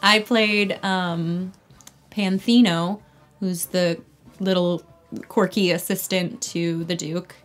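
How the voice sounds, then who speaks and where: A young American woman talks casually about her work as an interview guest. Her voice sits a little low, and faint music plays underneath. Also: fairly even intonation, slow pace. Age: young adult. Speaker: actor and singer being interviewed.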